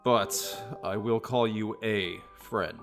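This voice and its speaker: Creaky, grudging friend played by a man. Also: on the low side, fairly even intonation, takes it slowly, a bit bright, soft background music. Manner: emphatic, grudging. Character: reluctant, grudging male friend. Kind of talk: scripted comic web film dialogue.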